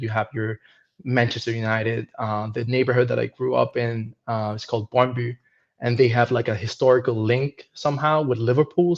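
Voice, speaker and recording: A man's voice: mid-range voice, muffled recording. A man in his thirties from Venezuela, a podcast guest chatting casually and hesitantly, with many restarts. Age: 30s.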